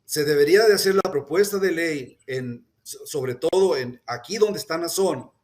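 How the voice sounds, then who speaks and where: Earnest Spanish-speaking man at a press panel. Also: fairly high pitch. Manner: earnest, deliberate, with hesitations and restarts. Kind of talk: formal public statement.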